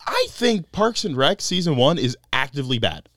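A man's voice: on the high side, sing-song, moderate pace, a bit bright, no room sound, clean studio sound. A young man with a beard co-hosting a podcast, joking and laughing often. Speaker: podcast co-host. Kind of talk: casual banter.

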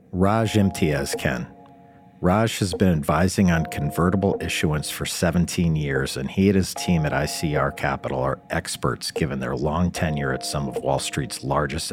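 A man hosting a business podcast, who reads a scripted introduction and then asks questions full of fillers and restarts. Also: rumbling low. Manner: halting and filler-heavy when asking questions. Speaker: podcast host. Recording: music bed under the voice.

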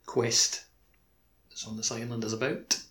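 A man's voice: medium pitch, flat delivery, unhurried, fairly bright tone. A man co-hosting a podcast, chatting casually and loosely about a video game. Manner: loose, informal, frequent "like". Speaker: podcast co-host.

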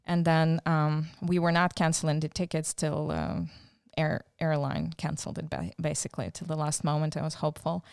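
Ukrainian woman, 30s, interview guest; low, dry, hesitant and reflective. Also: moderate pace, vocal fry, few pauses, a little mellow, clear, resonant tone, no room sound. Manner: thoughtful, hesitant with many fillers. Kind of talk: casual interview.

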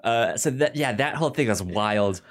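Halting mid-pitched podcast co-host. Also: expressive. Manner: halting, stammers and repeats words. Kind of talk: casual TV review chat.

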